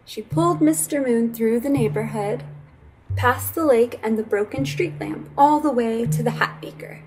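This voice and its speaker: A young adult woman reading a picture book aloud as a storyteller, with a gentle, deliberate delivery. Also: a little high, a little hiss or hum, poor audio. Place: small indoor room with fabric backdrop.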